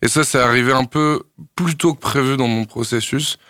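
A young man from France, a singer-songwriter, answering radio interview questions in a thoughtful, hesitant way with many pauses and fillers. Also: mid-range voice, bright, forceful tone, dry recording, clean studio sound.

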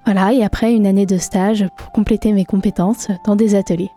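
Hesitant young French woman, mellow voice. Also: medium pitch, fairly even intonation, faint music behind. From France. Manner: hesitant, many pauses and fillers. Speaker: guest artist. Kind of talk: radio interview answers.

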